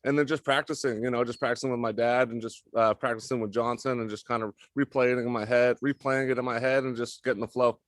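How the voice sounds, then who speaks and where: Quick-talking man, dry close sound. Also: mid-range voice, fairly even intonation, no room sound. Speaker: sales trainee. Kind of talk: casual explanation in a meeting.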